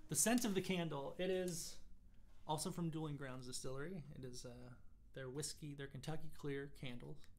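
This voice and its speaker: A man in his thirties singing and chatting on a livestream from a small home studio, playing acoustic guitar into a condenser mic. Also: moderate pace. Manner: sings high and loud, talks low and casually between songs. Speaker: singer of the band. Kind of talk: solo acoustic livestream performance and chat. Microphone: studio condenser mic, wearing headphones.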